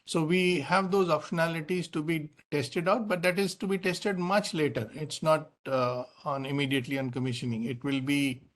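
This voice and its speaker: A man speaking as a company executive on an earnings call, explaining in unscripted answers with many pauses and repeated words. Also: a little high, steady tone.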